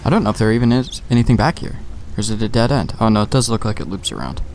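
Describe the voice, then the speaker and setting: A man's voice: fairly low pitch, rapid-fire, darkish tone, a little hiss or hum. A young man giving offhand, slangy commentary as he plays a video game, talking to himself. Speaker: gamer, let's play host.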